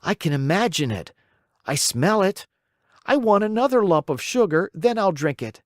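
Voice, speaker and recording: A storyteller voicing a whiny, stubborn wooden marionette boy in a high, strained, whining voice. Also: very animated, moderate pace, no room sound, clean studio sound, close to an everyday voice. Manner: bargaining, then wailing and pleading.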